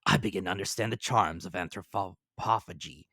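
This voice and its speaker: A man voicing a gruff, brash and roguish Canadian harpooner in an emphatic, blunt way for a dramatic audiobook. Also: low-pitched, normal speed, pushed and bright, no echo, studio quality, exaggerated voice. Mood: roguish relish.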